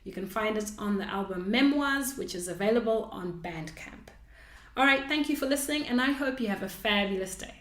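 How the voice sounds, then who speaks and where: A woman's voice: mid-range voice, animated, a little fast, fairly bright tone. A woman hosting a podcast, talking casually and conversationally to her listeners. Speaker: podcast host. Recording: echoey room.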